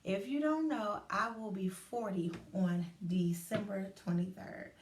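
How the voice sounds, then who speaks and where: A woman's voice: mid-range voice, a little slow, a little hiss or hum. Chatty woman host in a roomy echo. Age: 30s. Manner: animated, chatty, acts out inner voices. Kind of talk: casual livestream talk. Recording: roomy echo, distant from mic.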